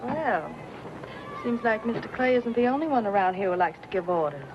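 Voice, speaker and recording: A woman's voice: on the high side, expressive, moderate pace, background noise, lightly acted. A woman playing a spirited young frontier woman, proud and pointed, in a western radio drama. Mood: defiant. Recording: dull lo-fi radio recording, music.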